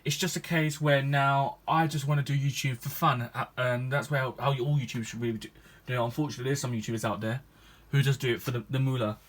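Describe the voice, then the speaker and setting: A man's voice: medium pitch, fairly even intonation, fast talker, hardly any pauses. A 21-year-old man, a gaming YouTuber chatting to his viewers in a rambling, hesitant way, full of restarts and ums. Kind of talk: casual vlog chat to viewers.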